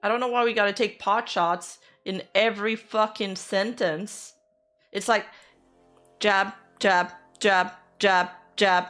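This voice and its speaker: A woman's voice: mid-range voice, fairly even intonation, slow pace, a bit bright, soft background music. Blunt, swearing woman streamer. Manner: blunt, sarcastic, swears freely. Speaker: VTuber streamer. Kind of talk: casual reaction commentary.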